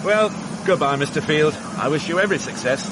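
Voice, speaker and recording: An actor playing a polite, gently ironic police inspector in a radio drama, sometimes hesitant. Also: male voice, on the high side, animated, fairly quick, background noise, lightly acted. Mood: courteous, wry. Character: courteous, wry, genial police inspector.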